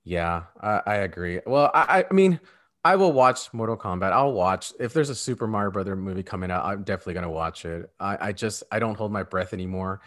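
A man's voice: low voice, animated, brisk, a bit bright. A man co-hosting a podcast, talking about films in an informal, conversational way. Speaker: podcast co-host. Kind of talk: casual film discussion.

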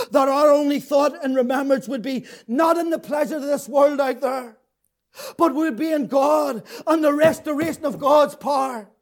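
A man preaching an impassioned sermon, repeating his phrases, swinging from shouted peaks to hushed, tearful lows. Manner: fervent, repetitive, rising to shouts then dropping low.

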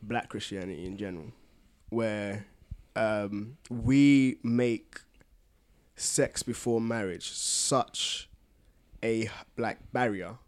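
A British man with a London accent co-hosting a casual podcast, talking in a slangy, colloquial way. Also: on the low side. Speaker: podcast co-host.

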